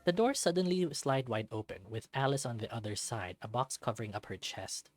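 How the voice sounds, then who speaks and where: A man's voice: mid-range voice, animated, a little fast. A man reading scripted visual novel narration as the storyteller. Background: music.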